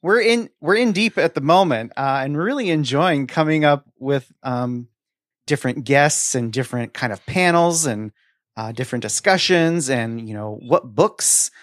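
A man's voice: mid-range voice, expressive, normal speed, no echo, studio quality. A middle-aged man speaking as a podcast host in a casual, conversational style.

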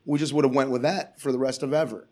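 A man's voice: medium pitch, fairly even intonation, fast, darkish tone. A man, a comedian guest on a podcast, ranting in casual chat with sarcasm and swearing. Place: Portland area.

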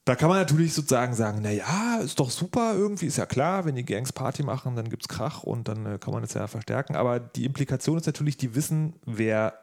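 A man's voice: medium pitch, animated, quick pace, few pauses, darkish tone. A man hosting a casual discussion, speaking colloquially with a wry touch and posing questions. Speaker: podcast host.

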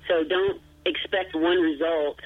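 High-voiced man on a tinny phone line. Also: steady tone, unhurried. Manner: rambling and earnest, with many fillers and restarts; self-deprecating humor. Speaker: guest. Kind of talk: casual interview chat.

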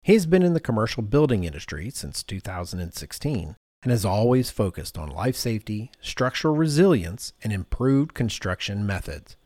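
A man's voice: on the low side, big pitch swings, moderate pace, hardly any pauses, a little mellow, dry recording, studio quality. A man hosting a conversational podcast, talking informally and thinking aloud as he goes. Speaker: podcast host.

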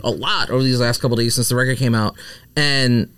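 A man's voice: mid-range voice, fast, a bit bright, clean tone, no echo. A man co-hosting a podcast, sharing opinions in casual chat with lots of "like" and "I mean". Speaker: podcast co-host.

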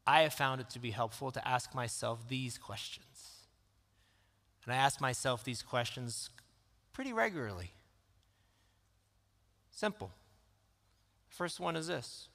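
A man preaching a sermon in a calm, conversational way that rises into emphatic questions. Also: mid-range voice, a little slow, vocal fry, pauses often. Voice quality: calm, soothing voice. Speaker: pastor. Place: church sanctuary. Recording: long reverb of a church hall.

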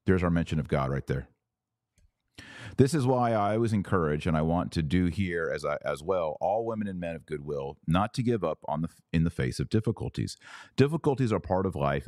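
A man's voice: very deep voice, lively intonation, brisk, a little mellow, no room sound, studio quality. A man hosting a religious commentary podcast, reading text aloud and remarking on it in an emphatic, questioning manner.

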